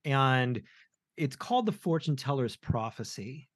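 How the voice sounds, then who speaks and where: A man's voice: mid-range voice, sing-song, unhurried, dry recording, studio quality. A man hosting a podcast interview, asking encouraging open questions. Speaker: podcast host.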